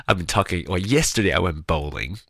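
A man with a very low voice talks in a loose, rambling way, stopping and restarting his sentences. He sounds nasal and congested, and his voice is a little raspy and gravelly. The sound is dry and close, with no room echo. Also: expressive, moderate pace, a bit bright. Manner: rambling, chatty, with frequent restarts. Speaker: vlogger. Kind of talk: casual personal monologue to camera.

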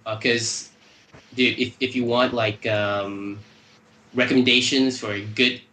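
A man's voice: medium pitch, fairly even intonation, measured pace, sharp tone, reverberant, a little hiss or hum. A man from Malaysia, a guest in a casual podcast chat, easygoing and hesitant, often repeating words.

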